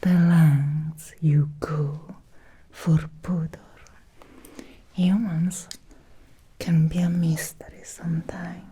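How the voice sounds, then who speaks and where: A woman playing an ancient, possessive female vampire in an ASMR roleplay, speaking in a breathy, seductive whisper with lingering, deliberate pauses. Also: sits low, level tone, warm tone, heavily acted. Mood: curious, seductive.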